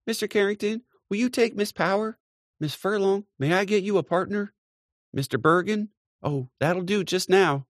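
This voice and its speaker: A man's voice: normal speed, dry recording, studio quality, lightly acted. Man doing a gushing, bustling hostess. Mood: busy. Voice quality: high, chattering and gushing. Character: older woman bustling hostess, eager and gushing.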